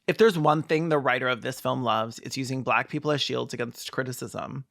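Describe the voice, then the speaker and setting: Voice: medium pitch, fairly quick, creaky, fairly bright tone. A video commentator giving sarcastic, comedic film commentary in a campy, mocking and theatrical way.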